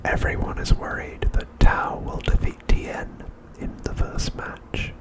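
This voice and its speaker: A man narrating a script as ASMR in a breathy, unvoiced whisper, softly and deliberately, pausing often between phrases. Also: poor audio. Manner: soft, deliberate, with frequent pauses between phrases.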